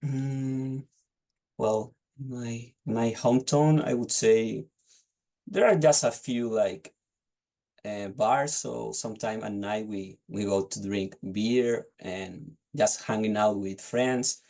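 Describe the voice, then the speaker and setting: A man's voice: fairly low pitch, slow, gravelly, mellow. A young man with a Chilean accent, answering exam questions hesitantly and often restarting phrases as he searches for words, over a video call. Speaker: test candidate. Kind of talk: unscripted speaking-test answers.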